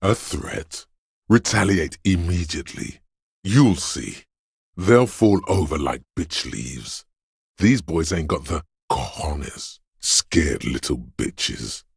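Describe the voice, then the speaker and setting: An actor voicing a video game character, a paranoid, aggressive inner voice of fight-or-flight instinct, speaking in an ominous, raspy, urging way. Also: male voice, expressive, no echo, studio quality, exaggerated voice. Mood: aggressive, goading. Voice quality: very deep, gravelly, raspy. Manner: slow, deliberate, ominous urging.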